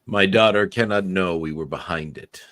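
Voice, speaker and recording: A man playing a menacing older male supervillain and father in a radio play, speaking with slow deliberation. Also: low voice, a bit bright, dry recording. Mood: grave. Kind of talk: live radio-play script reading.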